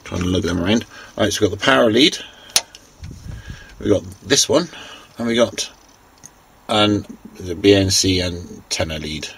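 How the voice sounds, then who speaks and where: Male, low register, unhurried and hesitant; informal technical show-and-tell on a noisy amateur recording. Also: vocal fry, a bit bright. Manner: halting, hesitant, unscripted, thinking aloud. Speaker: vlogger giving a technical walkthrough.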